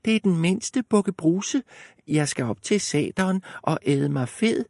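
A man voicing the smallest billy goat with a thin, squeaky voice. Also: expressive, gravelly, a little mellow, no room sound, clean studio sound, exaggerated voice. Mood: pleading. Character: tiny timid young billy goat.

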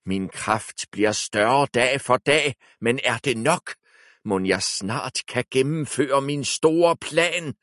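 A man's voice: mid-range voice, animated, fairly bright tone, no room sound, studio quality, voice pushed up, close to an everyday voice. A man voicing a scheming, power-hungry male sorcerer who gives orders with a snappish, commanding manner. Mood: brooding.

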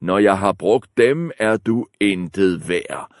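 A man doing a gruff coarse big billy goat. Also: low-pitched, animated, no room sound, clean studio sound. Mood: defiant. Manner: slow, loud, threatening.

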